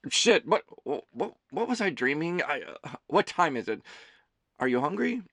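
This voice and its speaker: A groggy, frazzled man in an audiobook story, acted out by a male narrator, stammering and muttering to himself. His voice has a creaky edge and is recorded dry and close. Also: medium pitch, animated, moderate pace, fairly bright tone, no room sound. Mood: startled, confused. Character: groggy, frazzled man living alone, just woken.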